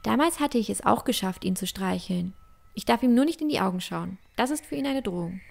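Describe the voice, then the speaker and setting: A woman's voice: mid-range voice, lively intonation, brisk, creaky, a little mellow. A woman playing a bold, bookish young girl explorer in a fantasy audio drama, earnest and matter-of-fact.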